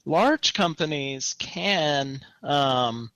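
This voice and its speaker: A young man co-hosting a podcast in casual discussion, opinionated and thinking aloud in a halting way. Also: on the high side, lively intonation, sharp tone, no echo, highs cut off. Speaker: podcast co-host. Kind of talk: casual chat, gaming discussion.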